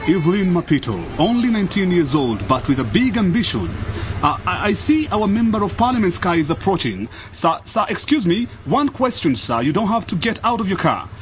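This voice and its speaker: An excitable male radio reporter broadcasts live in a radio drama. His fairly high voice swoops eagerly like an announcer's, on a slightly dull recording. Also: brisk, slight background noise. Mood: eager, animated.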